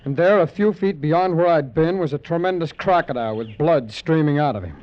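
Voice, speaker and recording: An actor as a sardonic hard-boiled adventurer. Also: male voice, a little high, fairly quick, close to an everyday voice. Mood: narrating. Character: wisecracking, tough adventurer man narrating. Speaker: narrator and lead adventurer. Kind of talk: 1940s radio acting. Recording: dull, lo-fi old radio broadcast with music.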